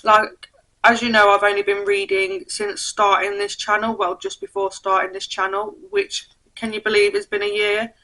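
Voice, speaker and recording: A young woman chatting about books for her channel, a bit croaky from a cold, reading blurbs aloud and tripping over names. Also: medium pitch, moderate pace, bright, forceful tone, rough recording. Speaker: book vlogger. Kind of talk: casual book haul chat.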